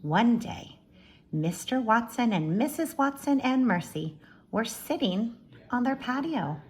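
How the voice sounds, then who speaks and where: A woman reading a storybook aloud to young children like a teacher, expressive, with friendly asides to her listeners. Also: on the high side, measured pace.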